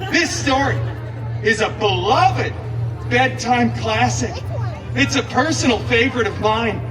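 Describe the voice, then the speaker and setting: Wisecracking male storyteller over loud music and crowd. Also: extremely high pitch, animated, measured pace. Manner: sarcastic, theatrical, mock-dramatic asides. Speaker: costumed theme park stage storyteller. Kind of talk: live comic storytelling to a crowd. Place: outdoor theme park show, music and crowd behind. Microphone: amplified show voice filmed from the audience. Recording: distant outdoor crowd recording, loud music.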